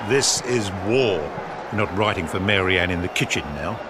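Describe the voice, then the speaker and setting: An actor playing a gruff, opinionated senior government official in a period audio drama, clipped, dry and authoritative. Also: male voice, low-pitched, normal speed, natural voice. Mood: brisk, cautioning. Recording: outdoor sports crowd and noise behind.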